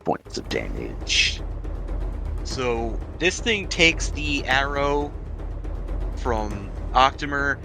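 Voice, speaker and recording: Casual male game master over clear music. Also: medium pitch, sing-song, very slow, pauses often. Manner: informal, sweary. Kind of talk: running a tabletop role-playing game, casual.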